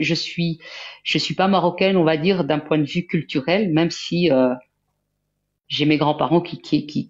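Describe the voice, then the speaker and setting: A woman from Belgium, a guest in a live interview, talking in an earnest, halting way with many restarts. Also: sits low. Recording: dull lo-fi sound.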